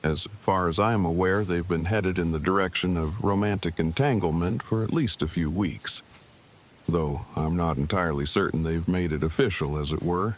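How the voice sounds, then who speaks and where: A man acts out a bookish survivor in an audio drama with a low voice. He is earnest and socially stiff, with long, precise, formal phrasing. The recording has clear background noise. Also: normal speed, close to an everyday voice. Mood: matter-of-fact. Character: earnest, awkward, bookish man in a post-apocalyptic survivor camp. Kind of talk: fan audio drama acting.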